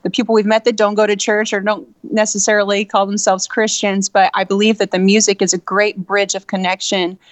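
A woman singer with a low voice, giving earnest interview answers full of "you know". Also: little pitch movement, brisk, few pauses, dry recording.

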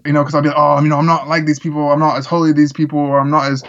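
A man's voice: a little high, flat delivery, rapid-fire, a bit bright, lo-fi sound. A man who grew up in the Czech Republic, a musician guest talking earnestly in a casual interview, with lots of fillers. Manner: earnest, rambling with frequent fillers.

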